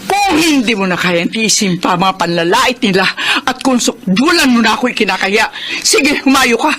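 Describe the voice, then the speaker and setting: Isang babaeng aktres na Pilipina na gumaganap bilang ina sa drama sa radyo, taimtim, nag-aalala, nakikiusap at nanenermon. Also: female voice, on the high side, lively intonation, normal speed, a bit bright. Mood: hurt, angry. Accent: Filipino. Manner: earnest, pleading, scolding. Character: worried, pleading, strict mother back from working abroad. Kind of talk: Filipino radio drama acting.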